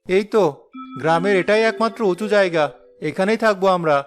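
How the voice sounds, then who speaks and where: A man plays a poor villager in a cartoon, an earnest family man full of worry. His voice is high for a man and urgent, over clearly audible music. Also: fairly quick, natural voice. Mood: worried. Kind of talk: animated moral story voice acting.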